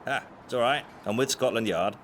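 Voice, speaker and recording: An actor playing a discreet, courteous Scotland Yard detective and bodyguard in an audio drama, polite and official. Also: male voice, medium pitch, a little fast, a bit bright, lightly acted. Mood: guarded, courteous. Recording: clear background vehicle noise.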